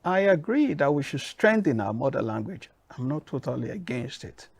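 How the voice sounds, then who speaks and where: A man with a Nigerian accent answering interview questions in a measured, emphatic way. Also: on the high side, big pitch swings, gravelly, husky, no room sound. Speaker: guest.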